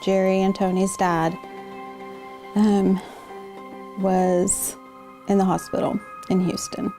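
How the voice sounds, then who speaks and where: A woman's voice: medium pitch, flat delivery, takes it slowly, mellow, faint music behind. A woman speaking personally in an interview as a bereaved relative.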